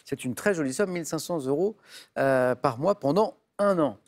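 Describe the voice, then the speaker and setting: Quiz host, male, upbeat and chatty, pitched a little high, punchy clue reading. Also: lively intonation, moderate pace, darkish tone. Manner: upbeat, chatty, reads clues with emphasis. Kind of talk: lively game show hosting. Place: television studio.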